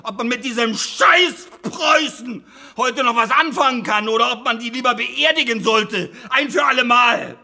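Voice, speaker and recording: An actor playing a blunt, impatient middle-aged lawyer and entrepreneur in a radio drama, talking in a sarcastic way that builds to exasperation. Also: male voice, very high-pitched, normal speed, gravelly, hardly any pauses, heavily acted. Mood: exasperated. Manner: sarcastic, rising to shouting exasperation.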